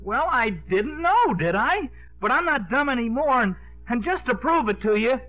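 A man acting a bashful, slow-witted, lovesick young man in a 1950s radio comedy, with a halting, eager manner. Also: very high voice, sing-song. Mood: giddy, smitten. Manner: halting, hesitant, drawling. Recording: muffled 1950s radio broadcast, music behind.

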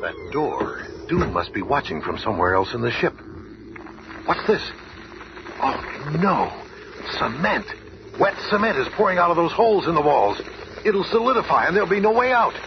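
An actor playing an earnest, quick-thinking superhero leader who thinks aloud melodramatically in a radio drama. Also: male voice, a little high, sing-song, creaky. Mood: urgent, determined. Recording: dull, noisy old radio recording.

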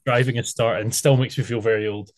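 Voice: medium pitch, fairly even intonation, quick pace. Podcast host, twenties, mid-range voice, informal, self-deprecating, casual group interview. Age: 20s.

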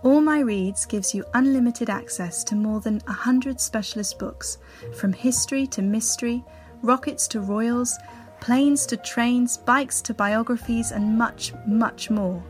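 A woman's voice: fairly high pitch, unhurried. A woman giving a scripted promotional voice-over. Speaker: advert voice-over. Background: music bed.

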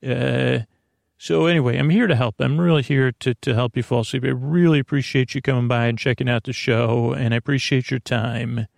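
A man with a gravelly, creaky voice, recorded close and dry. He hosts a bedtime podcast, wandering through an unscripted monologue. He is gently reassuring, often halting, trailing off and starting his phrases again. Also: mid-range voice, steady tone, dry recording. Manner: meandering and halting, trailing off and restating himself. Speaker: host of a bedtime sleep podcast. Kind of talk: rambling, improvised bedtime monologue.

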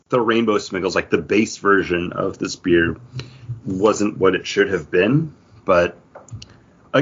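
A man's voice: on the low side, a bit bright, slightly rough, muffled recording. A man hosting a podcast in an enthusiastic, casual, chatty way. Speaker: podcast host. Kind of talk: casual chat.

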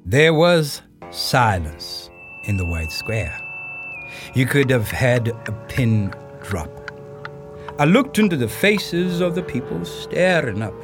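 An actor playing a proud circus troupe director, recounting a high-wire act in an audio play with suspenseful, emphatic pauses. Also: male voice, sing-song, music in the background. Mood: suspenseful, grave. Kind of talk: dramatic first-person storytelling in an audio play.

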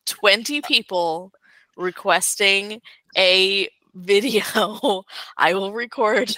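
A woman's voice: mid-range voice, drawn-out words, pushed and bright, dry recording, rough recording. A young woman co-hosting a podcast in casual chat, chatty and giggling at her own stories. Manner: chatty, giggly, self-amused. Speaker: podcast co-host.

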